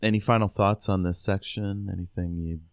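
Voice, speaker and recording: A man's voice: sits low, steady tone, fairly quick, smooth, no echo, studio quality. A man co-hosting a podcast, casually discussing a book and recapping it. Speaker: podcast co-host.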